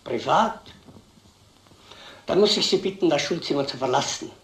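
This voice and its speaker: Guy acting snippy hostile schoolmaster, high voice for a man, echoey old film. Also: expressive, normal speed, natural voice. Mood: curt, hostile. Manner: curt, sarcastic, officious. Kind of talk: vintage German crime film acting. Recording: roomy old film soundtrack.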